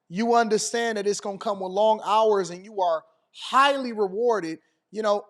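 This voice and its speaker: High-pitched American man giving commentary. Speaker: commentator and show host. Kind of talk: off-the-cuff news commentary.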